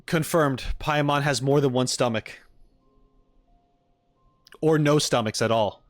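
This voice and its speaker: A man's voice: medium pitch, slow pace, no room sound, faint music behind. A man giving casual, joking reaction commentary as a streamer, informal and playfully indignant.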